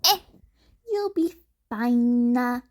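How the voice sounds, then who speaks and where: A brash young hero woman put on by a female reader talks bluntly and cockily. She teases in an informal way, with a fairly high voice, in a dramatized story. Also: lively intonation, drawn-out words. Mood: teasing. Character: brash, teasing pro-hero woman under thirty.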